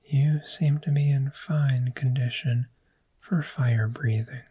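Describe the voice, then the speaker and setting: A woman playing a calm, reassuring dragon therapist in a soft-spoken, breathy ASMR roleplay, gentle and measured. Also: rumbling low, exaggerated voice. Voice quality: breathy, whispery.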